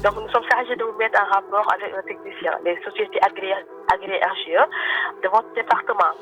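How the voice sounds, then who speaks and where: A woman working as a telemarketer, giving a polite, explanatory sales pitch on a phone call. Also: steady tone, a little fast, a bit bright. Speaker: telemarketer selling solar panels. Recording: phone call over faint music.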